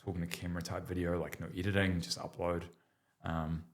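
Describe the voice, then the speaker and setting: A man's voice: deep voice, level tone, a little fast. A man hosting a podcast, asking encouraging, probing questions in casual chat. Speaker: podcast host.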